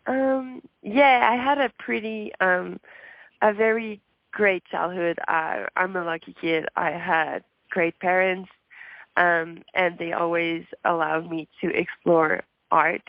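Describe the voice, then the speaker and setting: A woman with a hoarse voice from a throat issue answers interview questions in a shy, halting way, with many pauses and little laughs. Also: on the low side, fairly even intonation, dry recording, lo-fi sound. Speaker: musician guest.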